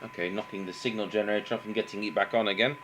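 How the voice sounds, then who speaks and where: A middle-aged Englishman with a fairly low voice talks through a technical job at a workbench. He is halting and unscripted, thinking aloud as he goes. There is some noise and hum behind him. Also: steady tone. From England. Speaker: radio engineer demonstrating repairs. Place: indoor workbench, voice off camera. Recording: noticeable background noise with hum.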